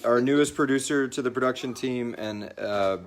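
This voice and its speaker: A man's voice: medium pitch, normal speed. A man hosting a casual live question-and-answer chat, reading out listener questions in a jokey way. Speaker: host and podcast creator reading listener questions. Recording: roomy, echoey live recording with background noise.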